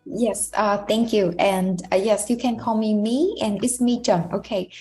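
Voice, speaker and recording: A woman from Vietnam introducing herself on an online call, speaking in a hesitant, halting way. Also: mid-range voice, warm tone, soft background music. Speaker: community manager.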